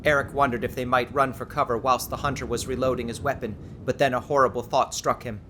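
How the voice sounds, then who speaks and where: Male narrator, dramatic audiobook read; mid pitch, crisp forceful tone, faint background rumble. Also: fairly even intonation, quick pace.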